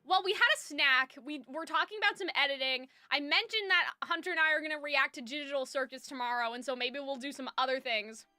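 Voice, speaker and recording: A woman's voice: fairly quick, few pauses, no echo. A woman chatting casually as a livestream host, rambling and slangy in a giggly way, close to the microphone. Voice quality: high-pitched when excited. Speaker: live streamer, host. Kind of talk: casual livestream chat with viewers. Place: soundproofed room at home. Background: faint music.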